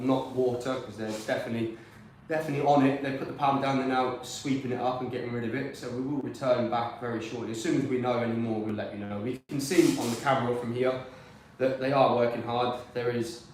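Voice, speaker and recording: A man's voice: mid-range voice, fairly even intonation, quick pace, darkish tone, recorded from a distance, slight background noise. A man from Peterborough, originally from South Wales, talking through the track as a racer and guest commentator in a rambling, upbeat way. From born in South Wales, raised in Peterborough. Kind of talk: casual racing commentary. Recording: echoey room, voice off-mic.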